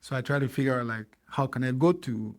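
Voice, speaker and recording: A man in his thirties, from Ghana, talks as a guest on a casual podcast. He tells his story with energy and acts out past conversations. His voice sits at a middle pitch. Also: quick pace. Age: 30s. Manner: animated storyteller, acts out dialogue with laughs.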